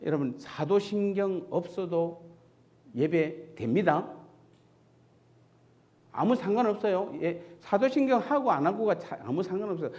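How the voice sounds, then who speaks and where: Lively-voiced pastor preaching. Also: male voice, sits high, measured pace, pauses often. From Seoul. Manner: asks the congregation rhetorical questions and answers them himself. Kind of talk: conversational sermon. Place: church sanctuary.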